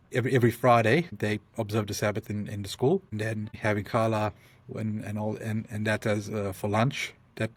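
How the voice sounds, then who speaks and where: A South African man, a podcast guest, talking through his story in halting restarts and frequent ums. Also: on the low side, no echo. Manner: thoughtful, speaks in halting restarts with many ums. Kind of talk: conversational interview.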